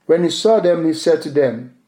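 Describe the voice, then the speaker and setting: A male pastor whose first language is Yoruba preaches a sermon in a measured way, repeating key phrases for emphasis. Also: fairly high pitch, expressive, warm tone. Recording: echoey room.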